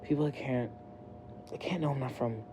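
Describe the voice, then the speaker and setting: A weary teenage boy superhero, acted by a woman in a fan audio drama. The voice is very low and dark, soft and mumbled, with a little hiss behind it. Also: moderate pace. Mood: dejected, dazed. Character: young male superhero, weary, shaken teenager.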